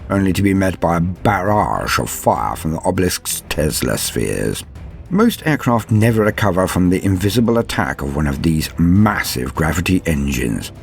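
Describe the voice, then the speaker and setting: Male narrator for scripted lore: theatrical and grave, low register with wide, expressive swings. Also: vocal fry. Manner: grave, theatrical, measured storytelling. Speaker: lore channel narrator and host.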